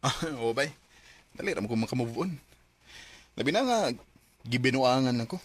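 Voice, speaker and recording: A man's voice: mid-range voice, lively intonation, moderate pace, close to an everyday voice. A man with a Cebuano Filipino accent playing an earnest, trusting young boyfriend in a Bisaya radio drama. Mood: resigned.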